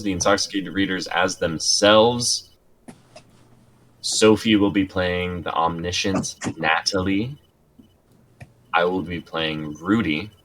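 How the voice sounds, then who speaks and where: Young adult male streamer, very low voice, casual delivery, faint noise behind him. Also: fairly bright tone, rough recording. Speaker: streamer introducing scripts. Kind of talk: casual group chat.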